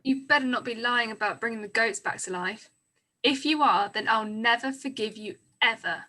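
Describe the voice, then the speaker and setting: Woman as a bright, high-voiced petulant little girl. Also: moderate pace. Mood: distrustful. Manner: petulant, emphatic. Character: tender-hearted, fiery little farm girl.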